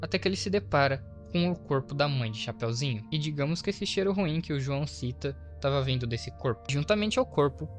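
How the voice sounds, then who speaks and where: A man's voice: fairly high pitch, lively intonation, fairly quick, hardly any pauses. A Brazilian man narrating a horror story recap as a video host, explaining it with informal asides. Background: faint to clear background music.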